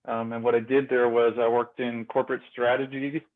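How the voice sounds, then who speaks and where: A man's voice: medium pitch, level tone, fairly quick. A young man in his twenties from Austria, a grad student guest in a casual interview, talking with many fillers and restarts. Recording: tinny phone-like line.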